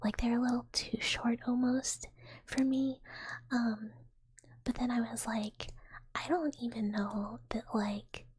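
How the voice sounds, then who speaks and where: Woman streamer whispering softly, very breathy. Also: on the high side, steady tone, takes it slowly. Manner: playful, rambling, giggly. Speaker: live streamer. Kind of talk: whispered casual livestream chat.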